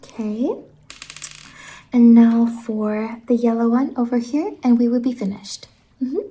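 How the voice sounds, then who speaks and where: A woman playing a sweet, bubbly stylist from a dream land in an ASMR roleplay, speaking softly and often slipping into whispers. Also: a little high, fairly even intonation, unhurried, a little hiss or hum, natural voice, rough recording. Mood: sweet, delighted. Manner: gentle, doting, chatty, often dropping into whispers. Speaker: ASMR performer.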